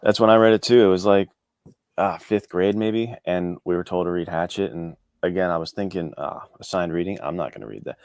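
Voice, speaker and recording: A man's voice: low-pitched, brisk, a little mellow, no room sound. A man talking casually as an interview guest, easygoing and a little self-deprecating. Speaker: guest, indie author and podcast co-host. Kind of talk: casual chat.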